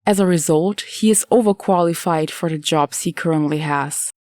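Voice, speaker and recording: A woman reading scripted nonfiction as an audiobook narrator, in measured, clearly phrased delivery. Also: deep voice, a little mellow, no room sound.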